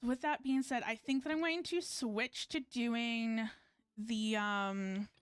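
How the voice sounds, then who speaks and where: A woman's voice: a little high, steady tone, slow pace, smooth, no room sound, studio quality, soft background music. A woman chatting casually on a game livestream in a rambling, self-correcting way. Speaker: VTuber game streamer. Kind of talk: casual livestream chat while gaming.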